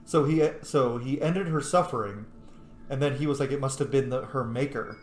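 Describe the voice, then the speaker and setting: A man streams a video game with casual, enthusiastic commentary. His medium-pitched voice is informal and sweary, recorded in an echoey space with faint noise behind it. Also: moderate pace. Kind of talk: casual playthrough commentary with in-character asides.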